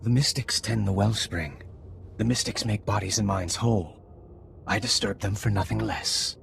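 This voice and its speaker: A very gravelly, solemn alien. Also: male voice, fairly low pitch, moderate pace, a bit bright, a little hiss or hum. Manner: stilted, formal, terse phrasing. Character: solemn male alien native, formal, reflective, gentle. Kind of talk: video game cutscene acting.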